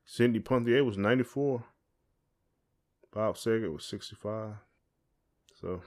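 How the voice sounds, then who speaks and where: A man's voice: on the low side, unhurried, darkish tone. A man chatting casually and colloquially as a podcast co-host. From southern United States. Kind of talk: casual chat with spouse.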